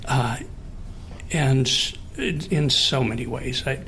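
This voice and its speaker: Slow-talking American man in his late sixties. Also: mid-range voice, a bit bright, slight background noise, poor audio. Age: 60s. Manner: halting, thoughtful, earnest. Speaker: participant in a recorded one-on-one conversation. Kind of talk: sincere personal conversation.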